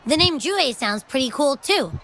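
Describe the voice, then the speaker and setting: High, cutesy, gluttonous little creature. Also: female voice, big pitch swings, normal speed, a bit bright, full character voice. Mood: curious, eager. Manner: cutesy, playful, exclamatory. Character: small, gluttonous, boastful, childlike female companion creature. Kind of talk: anime game voice acting. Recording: game music behind the voice.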